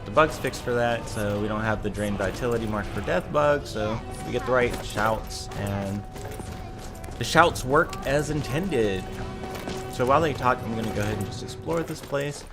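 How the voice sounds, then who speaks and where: A man with a slightly raspy voice giving casual live game commentary as a streamer, rambling and correcting himself as he thinks aloud. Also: medium pitch, normal speed, over music.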